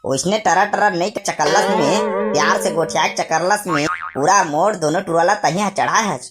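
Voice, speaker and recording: A man's voice: high-pitched, moderate pace, loud music behind the voice, natural voice. A man playing a grumbling, nagging village father in a cartoon comedy, speaking with a Chhattisgarhi accent and making threats. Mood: bickering. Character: grumbling, scolding village father of two boys.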